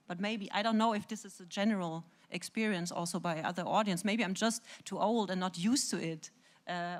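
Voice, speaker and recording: A native German-speaking woman with a German accent, talking spontaneously as an academic on a discussion panel. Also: on the low side.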